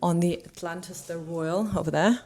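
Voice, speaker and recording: A woman's voice: fairly low pitch, normal speed, a little mellow. A woman hosting a casual vlog, commenting and asking questions in an enthusiastic, admiring way. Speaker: host and interviewer.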